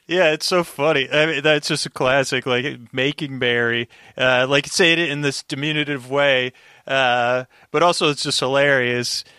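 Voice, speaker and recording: Bright-voiced man chatting wryly. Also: medium pitch, fairly even intonation, normal speed, smooth, no echo, clean studio sound. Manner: wry, slips into mock dialogue. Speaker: podcast guest. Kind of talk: casual chat.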